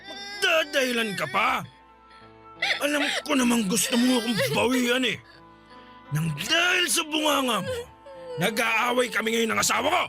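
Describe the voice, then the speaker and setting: A man's voice: extremely high pitch, animated, normal speed, vocal fry, music in the background, heavily acted. A man playing an abusive, hot-tempered middle-aged Filipino uncle in a radio drama, scolding and barking threats. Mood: furious.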